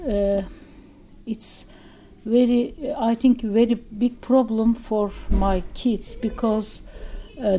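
A middle-aged woman with a Turkish accent, a guest in a radio interview, speaking haltingly in English with many pauses and fillers. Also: medium pitch, mellow, a little hiss or hum, rough recording.

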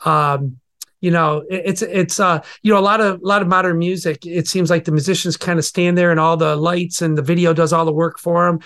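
Brief: middle-aged father, somewhat high voice, rambling and earnest. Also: fairly even intonation, a little fast. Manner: warm proud rambling, many restarts. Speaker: guest's father. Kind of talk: casual chat.

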